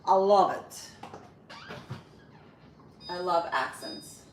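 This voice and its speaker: Lady in her 30s w a spanish-ish accent, kinda low voice, rambling on a cooking stream chatting w viewers. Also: very slow, background noise. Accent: Spanish-influenced. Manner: chatty, rambling, answers viewer comments as she goes. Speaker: livestream host. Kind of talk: casual morning livestream chat while cooking.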